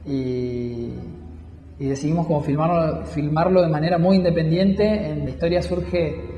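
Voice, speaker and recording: A man's voice: a little high, unhurried, reverberant, in a large hall, muffled recording, slight background noise. A young man, a filmmaker, talking about his work in an interview with many restarts and fillers. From Misiones, Argentina. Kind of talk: interview talk.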